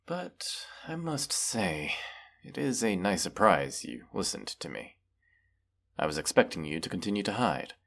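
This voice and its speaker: A man's voice: low-pitched, gravelly, a bit bright, heavily acted. A man playing a proud, menacing, weary dragon who distrusts humans, talking haughtily in a fantasy audio roleplay. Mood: haughty, restrained. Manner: slow, deliberate, haughty, with long pauses. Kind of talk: scripted audio roleplay monologue.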